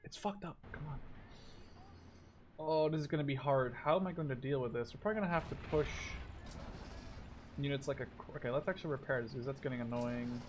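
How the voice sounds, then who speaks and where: Guy in his 20s doing casual lets play, swears a lot, mid pitch voice, game gunfire n engines behind him. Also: brisk, pauses often, a little hiss or hum. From Canada or the Netherlands. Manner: sweary, thinking aloud. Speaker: gaming video host. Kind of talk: casual let's play commentary. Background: war game artillery, gunfire and vehicles.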